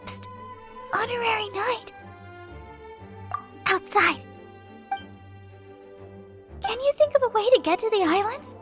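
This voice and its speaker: Helpful young woman, very high pitch, lively intonation, gentle, reassuring, video game character. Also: takes it slowly, pauses often, music in the background, exaggerated voice. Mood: sympathetic, conspiratorial.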